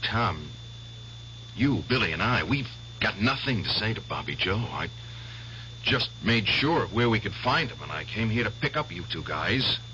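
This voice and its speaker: A bitter obsessed veteran, deep bright voice, dull old radio sound. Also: male voice, very animated, moderate pace, a little hiss or hum. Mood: menacing. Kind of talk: 1970s radio drama acting. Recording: dull vintage radio broadcast.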